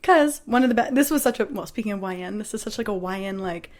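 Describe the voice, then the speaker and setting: Woman, comedian, animated, crass, quick jokes, casual podcast storytelling. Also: mid-range voice.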